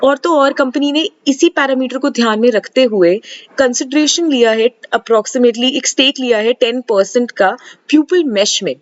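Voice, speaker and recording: A woman with an Indian accent and a fairly high voice explains financial material as a presenter. She moves back and forth between Hindi and English. The recording sounds slightly dull and lo-fi. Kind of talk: explanatory stock analysis presentation.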